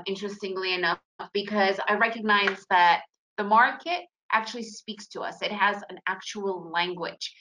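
A woman's voice: medium pitch, sharp tone, poor audio. A woman in her forties, born in Russia and raised in the United States, giving a chatty, upbeat trading webinar with plenty of fillers. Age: 40s. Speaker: webinar presenter, day trader. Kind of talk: casual webinar presentation.

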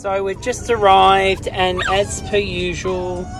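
A man's voice: high voice, flat delivery, slow pace, over music. A man in his thirties with an Australian accent, chatting casually as he films himself in a camper van with a phone held at arm's length. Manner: chatty, upbeat, with soft asides to his dog. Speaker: vlogger. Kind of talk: casual vlog narration. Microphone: handheld phone at arm's length.

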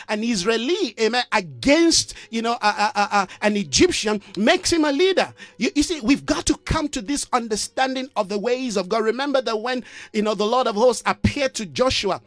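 A man's voice: very high-pitched, fairly bright tone, dry recording. A middle-aged man preaching in an online broadcast, emphatic and repetitive, with frequent "amen" and "you know" fillers. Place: indoor study with bookshelves. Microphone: studio mic on a boom arm, close.

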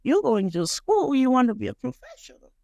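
A woman in her forties from Malaysia with a Malaysian Chinese accent, a native Chinese speaker, talking casually and haltingly as a podcast guest on a close studio mic. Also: high voice, sing-song, vocal fry, dark tone, dry recording, highs cut off. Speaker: guest chef and culinary instructor. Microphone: close studio microphone on boom arm.

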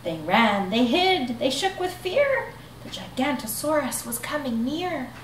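A woman in her thirties reading a children's rhyming picture book aloud in an expressive, rhythmic way, seated in a living room. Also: high voice, unhurried, slight background noise. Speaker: storybook reader. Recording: echoey room, mic at a distance.

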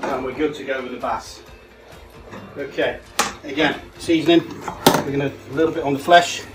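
A man's voice: medium pitch, fairly even intonation, normal speed, over loud music, poor audio. A middle-aged man, a chef, giving casual, plain-spoken step-by-step cooking instructions while busy at the stove in a home kitchen. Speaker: chef demonstrating a recipe. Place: small home kitchen, indoors. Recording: loud sizzling pan and kitchen noise. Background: frying, sizzling, stirring.

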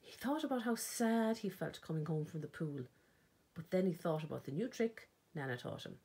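An Irish woman reads a picture book for children. Her voice is very husky and breathy, set slightly low, and swings widely in pitch. She uses dramatic pauses and emphasis. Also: expressive, mellow. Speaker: librarian reading a children's picture book aloud. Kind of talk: storytime read-aloud narration.

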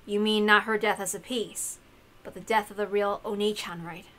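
A woman playing an earnest young woman from a visual novel game, serious and thoughtful. She speaks deliberately in a bright, middle-pitched voice. There is faint noise in the recording. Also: steady tone, close to an everyday voice. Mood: questioning. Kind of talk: acted visual novel dialogue.

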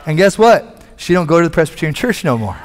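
A man in his forties, a pastor preaching a sermon from a church stage on a headset mic, animated and conversational, with frequent restarts. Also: fairly high pitch, fast talker, faint music behind. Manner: animated, moving about the stage and gesturing.

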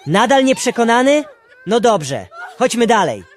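A man's voice: extremely high pitch, very animated, measured pace, exaggerated voice. A man playing an eager apprentice angel guide in an amateur radio play, theatrical and exclamatory. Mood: coaxing. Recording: music behind the voice.

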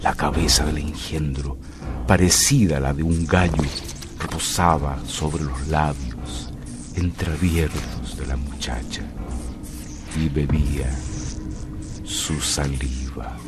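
A man narrating a mystery radio drama in a deliberate, dramatic way with pauses. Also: rumbling low, fairly even intonation, loud music behind the voice.

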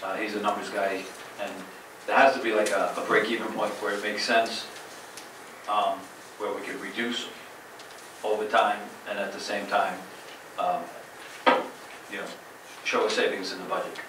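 Town manager with a New Jersey accent, low flat delivery, heard from far away in a very echoey chamber with noise. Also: male voice. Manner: measured, hesitant with many "um"s. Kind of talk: council meeting report. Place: large council chamber, indoors.

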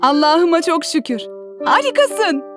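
A gentle mother from a children's cartoon, speaks in a high voice. She takes her time, patiently and encouragingly teaching her children. Soft music plays underneath. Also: big pitch swings, unhurried, full character voice. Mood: delighted. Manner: warm, encouraging, instructive. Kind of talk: cartoon dub acting.

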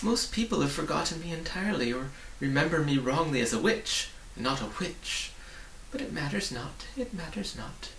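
A gentle, sorrowful old lady from a storybook, acted out by a man reading aloud. She reminisces in formal, wistful phrases, with a creaky edge. The recording is roomy and hissy. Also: fairly high pitch, animated, normal speed. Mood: resigned. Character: sad, gentle old woman, a disgraced former royal official. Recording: roomy, distant mic, hissy.

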